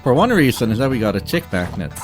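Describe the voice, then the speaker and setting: A man giving casual live commentary as he speedruns a game, halting and repeating phrases as he goes. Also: medium pitch, big pitch swings. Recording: game music behind the voice.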